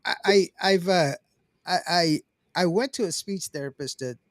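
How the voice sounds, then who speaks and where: A man with a West Texas accent hosting a casual podcast chat while a little under the weather. He laughs easily and talks in a relaxed way. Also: sits high, moderate pace, no room sound, studio quality. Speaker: podcast host.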